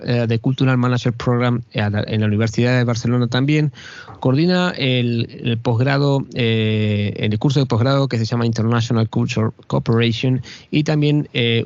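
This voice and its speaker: A man hosting an interview podcast, stumbling over and repeating words as he builds each question. His voice sits at a middle pitch. The sound is dry and somewhat muffled. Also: darkish tone, no room sound. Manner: hesitant, many fillers and repeated words. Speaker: podcast host.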